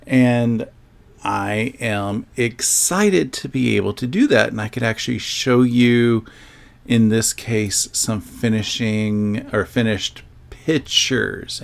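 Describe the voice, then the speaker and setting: Gravelly-voiced man chatting on a livestream. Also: on the low side. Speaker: host of a sewing livestream. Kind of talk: casual livestream chat with viewers.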